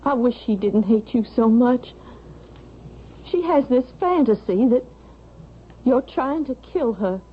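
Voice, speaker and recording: An actress playing an earnest young married daughter in a vintage radio drama, speaking emphatically. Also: female voice, fairly high pitch, animated, slow, pauses often, slight background noise. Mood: worried. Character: earnest, defiant young married daughter, loyal to her husband. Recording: dull vintage radio recording.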